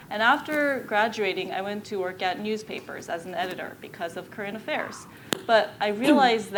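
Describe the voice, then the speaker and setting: A woman's voice: medium pitch, a little hiss or hum, poor audio. A woman speaking on a panel, telling her career story in a conversational way with fillers and light laughs.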